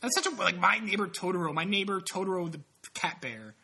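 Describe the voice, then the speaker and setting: A man's voice: high voice, lively intonation, brisk, creaky, sharp tone, husky. A man in his late twenties with a stuffy, sniffly nose, chatting casually in a rambling, profane, self-mocking way. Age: late 20s. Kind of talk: casual chat.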